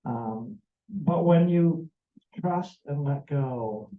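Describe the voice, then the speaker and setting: A man's voice: fairly high pitch, very slow. American guy sharing a church testimony, rambly and sincere, kinda jet-lagged, thin tinny sound. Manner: earnest, meandering storytelling. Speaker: church member sharing a mission trip report. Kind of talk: personal testimony to a congregation. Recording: tinny, echoey room recording.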